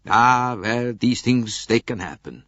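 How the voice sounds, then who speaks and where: A man's voice: mid-range voice, takes it slowly, a bit bright, no room sound, voice pushed up. A man voicing a beaming, voluble, good-natured Italian male salesman with a slight Italian accent who talks in an expansive, gushing way, on a dull lo-fi tape.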